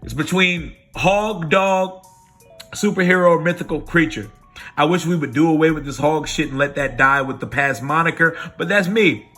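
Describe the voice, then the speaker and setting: Man, hoarse voice, bright and forceful tone, fired-up profane fan recap, faint music behind. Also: on the high side, moderate pace. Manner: animated, profane, opinionated. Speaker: sports vlogger. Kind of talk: casual fan recap rant.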